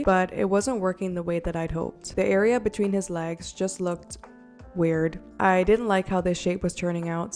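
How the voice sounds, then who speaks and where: A young woman narrating her craft video in a friendly, chatty way, her voice still a little rough from being sick. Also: on the low side. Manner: chatty and self-deprecating, with little laughs. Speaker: polymer clay artist narrating a craft video. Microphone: borrowed desk mic. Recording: soft background music.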